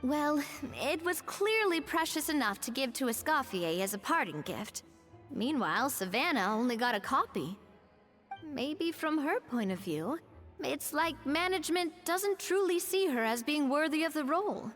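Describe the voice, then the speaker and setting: A woman voicing a confident, sociable young lady in a video game, playful, lively and determined. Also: high voice, moderate pace. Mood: thoughtful. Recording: game music behind voice.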